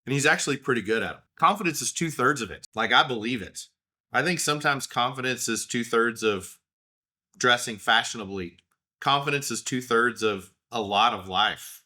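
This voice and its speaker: A man's voice: mid-range voice, normal speed, bright, forceful tone. A man in his thirties with an Oklahoma accent, telling rambling, self-deprecating stories in a casual solo talk.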